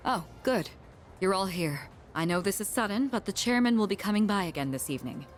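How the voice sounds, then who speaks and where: A woman's voice: medium pitch, expressive, a little fast, soft background music, close to an everyday voice. A woman voicing a composed young female student leader in a video game, formal, courteous and authoritative. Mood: businesslike.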